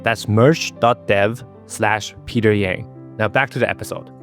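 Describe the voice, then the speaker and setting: A man's voice: on the low side, steady tone, measured pace, a bit bright, soft background music. A man hosting a podcast, speaking as an interviewer and presenter. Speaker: podcast host. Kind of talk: casual interview talk and scripted sponsor read.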